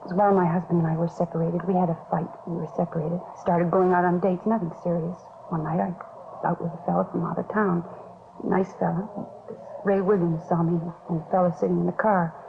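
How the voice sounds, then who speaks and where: An American actress playing a worried beauty shop owner in a 1950s radio drama, confessing and pleading anxiously. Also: female voice, on the low side, flat delivery, a little fast, vocal fry. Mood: ashamed, worried. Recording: scratchy, phone-like 1950s radio recording, noisy.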